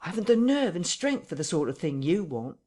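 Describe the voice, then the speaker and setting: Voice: medium pitch, big pitch swings, fairly quick, dark tone, pitched above the performer's own voice. A reader voicing a frightened, self-pitying tramp, plaintive and close to tears. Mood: whining. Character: whining frightened tramp, middle-aged man.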